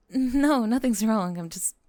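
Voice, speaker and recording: A woman playing a doting, possessive lamia college roommate in an ASMR roleplay, speaking softly and coaxingly, close to the microphone. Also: on the high side, no echo. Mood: rattled, jealous. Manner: coaxing, doting, breathy pauses with sighs and soft giggles. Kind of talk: ASMR roleplay acting, spoken to the listener. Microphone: close microphone in a dry space.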